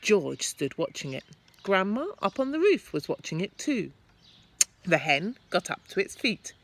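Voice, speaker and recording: Female primary teacher, storyteller: slow, very animated delivery, dramatic emphasis, some background noise. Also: mid-range voice. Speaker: primary school teacher reading aloud. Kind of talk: expressive children's story narration.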